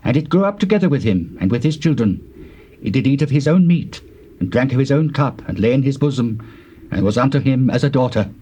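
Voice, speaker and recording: A man's voice: mid-range voice, expressive, brisk, warm tone, slight background noise, natural voice. Guy playing an old testament prophet, solemn and accusing, big declamatory bible drama delivery. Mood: solemn storytelling. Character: stern male prophet delivering God's judgment to a king. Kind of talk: dramatized scripture reading, theatrical.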